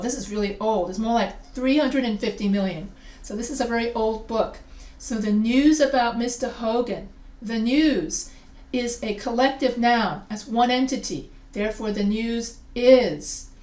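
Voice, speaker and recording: A woman teaching a recorded grammar lesson. English is not her first language, and she explains slowly, often repeating and restarting phrases. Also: a little high. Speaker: writing teacher. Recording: echoey room, a bit far from the mic.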